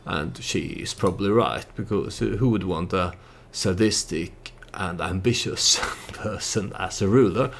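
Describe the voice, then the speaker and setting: A man giving casual storytelling commentary over a strategy game, hesitant and halting as he thinks aloud. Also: low-pitched, vocal fry, a little hiss or hum. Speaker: let's play YouTuber narrating his strategy game.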